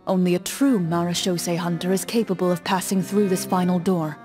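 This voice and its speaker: An actress playing a composed, solemn game master narrating an adventure in a video game, formal and measured. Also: female voice, on the low side, steady tone, darkish tone, soft background music, lightly acted.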